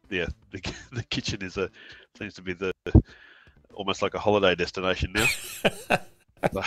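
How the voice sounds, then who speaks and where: A man in his forties with a New Zealand accent, chatting as a guest on a video call. He talks in a relaxed, halting way with plenty of fillers. His low voice comes through a headset mic, dry and close. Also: no room sound. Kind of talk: casual video-call interview.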